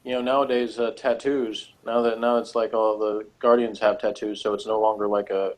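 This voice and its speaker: A man co-hosting a casual podcast chat about a TV episode, animated and jokey, often laughing and acting out quotes. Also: fairly low pitch, normal speed. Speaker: podcast co-host.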